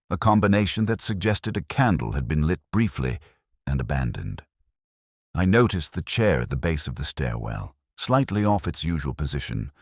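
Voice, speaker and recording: A man narrating a detective story in the first person, in a measured, formal and reflective way. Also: very deep voice, dry recording, clean studio sound.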